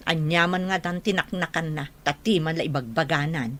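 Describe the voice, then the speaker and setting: A woman's voice: low voice, normal speed. An actress playing a stern, scolding mother with an Ilocano accent in a radio drama. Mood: exasperated. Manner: scolding, emphatic. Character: stern, nagging mother of a schoolgirl. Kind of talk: Filipino radio drama acting.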